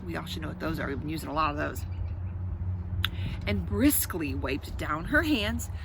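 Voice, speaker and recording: A woman's voice: sits low, lively intonation, moderate pace, vocal fry, noisy background. A woman reading a children's storybook aloud as host, expressively, with explanatory asides to her young listeners.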